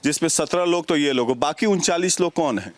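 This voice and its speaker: A man's voice: on the high side, a little fast. A man with an Indian accent working as a news reporter, putting questions in a field interview.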